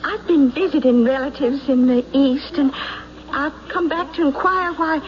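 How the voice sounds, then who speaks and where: A woman's voice: high voice, normal speed, close to an everyday voice. A woman playing a haughty, spiteful wronged wife in an old radio drama, prim, melodramatic and accusatory, on a muffled recording. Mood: scornful, indignant. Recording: muffled old radio broadcast, faint noise.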